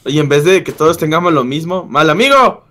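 A high-voiced man chats through an improvised game roleplay with music behind him. He is exclamatory, often doubling up short phrases. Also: lively intonation, fairly quick, sharp tone. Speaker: gaming roleplay player. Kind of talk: excited improvised gaming chat.

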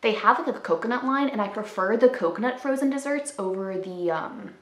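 A woman's voice: medium pitch, lively intonation, brisk, a bit bright, echoey room. A woman in her twenties chatting casually to camera in her living room, upbeat and full of "like" fillers, showing off groceries. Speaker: lifestyle vlogger. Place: living room at home, indoors.

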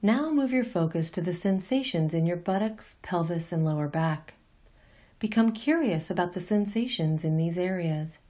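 A woman reading a scripted guided meditation as an instructor, in a gentle, calm and unhurried manner. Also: fairly low pitch.

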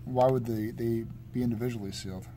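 A man's voice: mid-range voice, fairly even intonation, brisk, warm tone. A man talking casually in a terse, matter-of-fact way, listing items as he shows them, recorded indoors on a phone. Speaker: podcast co-host showing collection pickups. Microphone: handheld phone camera mic. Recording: noticeable background noise, amateur phone video.

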